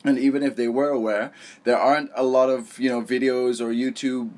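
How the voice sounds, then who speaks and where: A man's voice: medium pitch, level tone, brisk, a little mellow. A young man from Malaysia who was raised abroad, talking casually and in a rambling, informal way as a vlogger telling his story. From born in Malaysia, raised abroad. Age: young adult. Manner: rambling, informal, self-correcting, peppered with "you know" and "like". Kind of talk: casual storytime vlog chat.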